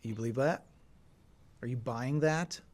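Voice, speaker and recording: A man's voice: mid-range voice, measured pace, darkish tone. A man co-hosting a podcast, who argues his opinions in a casual, emphatic and insistent way. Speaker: podcast co-host.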